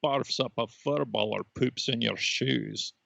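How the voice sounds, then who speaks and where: Man voicing a gravelly, sardonic red laser dot. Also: medium pitch, measured pace, fairly bright tone, no echo, dull audio, full character voice. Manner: sardonic, knowing. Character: dry, sardonic male red laser dot, cat's rival. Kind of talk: improvised character comedy interview.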